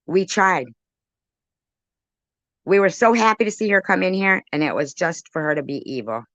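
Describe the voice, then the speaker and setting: A woman's voice: lowish voice, moderate pace, fairly bright tone, no room sound. A woman doing casual reaction commentary in an accusatory, sarcastic way. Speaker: commentary video host. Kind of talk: casual reaction commentary on a live stream clip.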